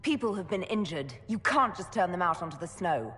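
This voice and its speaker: Low-voiced, earnest elven mage. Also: female voice, fairly quick, long reverb tail. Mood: concerned. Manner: polite, even-tempered. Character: calm, earnest young elven woman mage, a leader. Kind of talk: video game cutscene acting.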